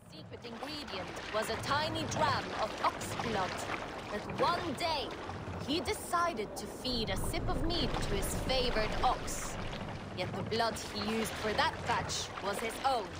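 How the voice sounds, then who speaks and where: A bawdy Viking storyteller in a game, with a high voice. She brags in a playful, declamatory way, and loud boat and water noise runs behind her. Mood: storytelling. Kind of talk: spoken storytelling in a video game. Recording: noisy boat and water ambience.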